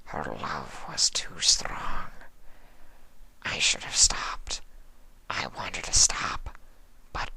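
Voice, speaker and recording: A narrator voicing a guilt-ridden changeling creature in a slow, halting, breathy whisper, hushed and pleading, for a fan fiction story. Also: extremely low pitch, expressive, full character voice. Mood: guilty, anguished.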